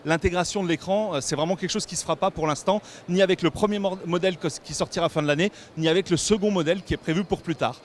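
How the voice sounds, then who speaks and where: A man with a French accent presenting a tech product in an explanatory way, often pausing and correcting himself. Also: high-pitched. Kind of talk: semi-improvised product presentation to camera. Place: busy trade show hall. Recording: noisy trade show floor.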